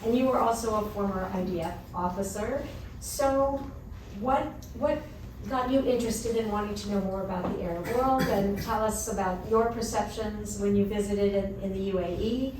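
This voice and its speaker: A woman's voice: mid-range voice, moderate pace. A woman moderating a panel, asking questions in a friendly, chatty way. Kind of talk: panel discussion. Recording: very echoey hall, distant mic, background noise.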